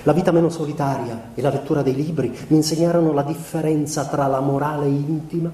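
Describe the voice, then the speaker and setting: Male actor as melancholy young husband, fairly high mellow voice, measured confessional delivery, literary radio monologue, noisy background. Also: steady tone, close to an everyday voice. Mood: weary melancholy. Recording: roomy, distant stage recording with background noise.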